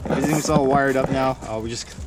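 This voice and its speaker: A man's voice: mid-range voice, fairly even intonation, quick pace, background noise. A man hosting a casual vlog in jokey, slangy bro talk. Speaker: vlog host filming a truck build.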